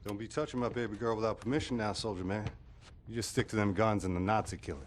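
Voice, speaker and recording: A man's voice: lowish voice, fairly even intonation, quick pace, noisy background, natural voice. An actor playing a bitter, swaggering rebel in a video game, slangy and mocking as he drawls. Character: bitter, swaggering male American anti-war rebel.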